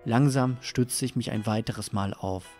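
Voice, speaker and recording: A man's voice: mid-range voice, measured pace, darkish tone, close to an everyday voice. Audio drama lead , played by a man: tormented, dazed, brooding first-person narrator, over music. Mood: exhausted, brooding. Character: tormented, disoriented man narrating his waking nightmare. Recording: music under the voice.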